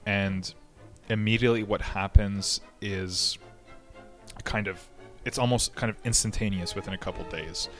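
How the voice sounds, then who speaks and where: Very low-voiced man explaining history. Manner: conversational, many fillers and restarts. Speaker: history podcast host. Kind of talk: casual explanatory history talk.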